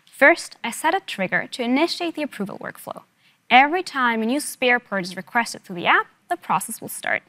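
A woman in her thirties with a fairly high voice presents software at a keynote. Her delivery is that of a rehearsed, step-by-step product demo. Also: animated, fairly quick.

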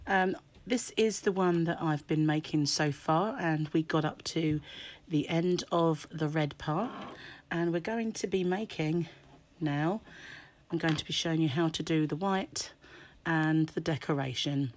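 A woman with a croaky, hoarse voice chatting through a crochet tutorial at a table, full of fillers and throat clearing. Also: low-pitched, unhurried, a little mellow, slight background noise. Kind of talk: casual tutorial explanation. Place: home table, indoors. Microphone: camera over hands, speaker off-screen.

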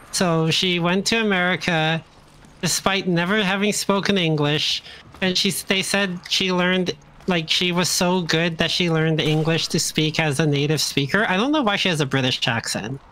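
A fairly high-pitched man talks casually into a headset while gaming with a friend. He rambles through jokey stories with a light hiss in the background. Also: pushed and bright, poor audio. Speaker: streamer. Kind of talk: casual co-op gameplay chat.

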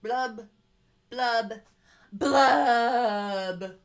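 A woman voicing a sulky, gloomy male fish who chants a drawn-out, mournful refrain in a storybook reading. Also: fairly high pitch. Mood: gloomy sing-song. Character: glum sulky male fish, droopy and mopey.

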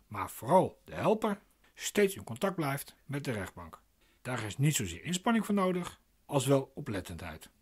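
A man's voice: mid-range voice, fairly bright tone, breathy edge. An actor playing a glib, pedantic man explaining legal procedure in a radio play, insistent and didactic.

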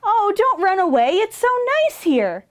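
A friendly female storybook dragon, played by a woman reader, earnest and gushing. Her voice sits high and swoops with eager, bubbly warmth toward anyone she hopes to befriend. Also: sing-song, measured pace, exaggerated voice. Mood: beaming, cheerful.

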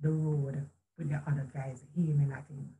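A woman with a somewhat low voice speaks earnestly and reflectively. She tells a personal story as a guest on a webcam call from a room at home. She often restarts her sentences and slips into quoted speech. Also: flat delivery, moderate pace, dark tone. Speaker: author and guest. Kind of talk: storytelling in a video call interview. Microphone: video call webcam.

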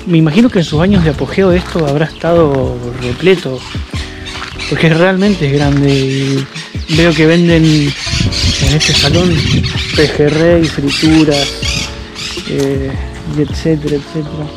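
A man's voice: fairly high pitch, steady tone, unhurried, loud music behind the voice, low-quality recording. A man in his thirties with an Argentine accent, chatting casually to an action camera held at arm's length outdoors while touring by bike. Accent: Argentine Spanish. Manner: chatty, easygoing, thinks aloud with fillers. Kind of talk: casual travel vlog talk to camera. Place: outdoors at an abandoned campground.

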